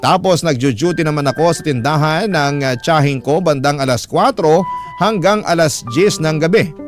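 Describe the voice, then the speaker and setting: A man's voice: fairly high pitch, moderate pace. A man with a Filipino accent narrating a scripted first-person story in dramatic radio style. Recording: voice over loud music bed. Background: music.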